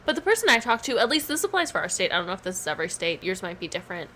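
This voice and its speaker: A woman co-hosting a podcast in casual chat, hoarse from swollen tonsils, rambling with lots of "like" and "um". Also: medium pitch, very animated, very fast, bright, forceful tone. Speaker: podcast co-host.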